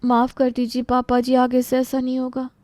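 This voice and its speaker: A woman's voice: high-pitched, completely flat delivery, normal speed, a little mellow, no echo, clean studio sound, pitched above the performer's own voice, close to an everyday voice. A woman voicing a gossipy, scheming young daughter-in-law who feigns innocence in a moral story. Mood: meek, apologetic.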